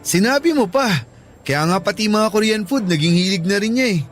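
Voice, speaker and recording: An actor playing a sweet, easygoing young student suitor in a Filipino radio drama, casual and earnest, with a Filipino accent. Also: male voice, high voice, lively intonation, fairly quick, faint music behind. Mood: lighthearted.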